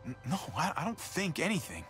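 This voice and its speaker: A man playing an apologetic, halting, guilt-ridden younger brother in a cinematic video game drama. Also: fairly high pitch, wildly swooping pitch, fairly bright tone. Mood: subdued, earnest. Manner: pleading, halting. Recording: music underneath.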